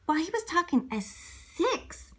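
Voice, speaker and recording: A woman reading a children's book aloud in an expressive way, exclaiming and lingering over words with playful asides. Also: very high voice, measured pace.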